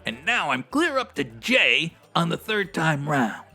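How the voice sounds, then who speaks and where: A man playing a slangy, wordy strip club manager with a raspy, theatrical delivery, in a comedic old-time radio detective style. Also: fairly high pitch, very animated, unhurried, sharp tone, soft background music, full character voice. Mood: chatty, pleased. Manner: hipster slang with florid big words, theatrical. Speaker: actor in a comedy audio drama.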